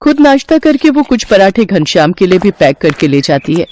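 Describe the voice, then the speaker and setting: Calm woman storybook narrator. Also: medium pitch, brisk, slight background noise.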